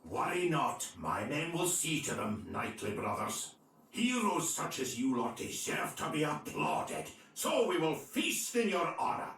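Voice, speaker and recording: A grand, gracious lord in a medieval video game. His slightly high voice rises and falls widely in courtly declamation. It rings with heavy echo, some way from the microphone. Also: sing-song, a little slow, fairly bright tone, heavily acted. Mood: hearty, grand. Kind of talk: video game cutscene acting. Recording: very echoey, distant from mic.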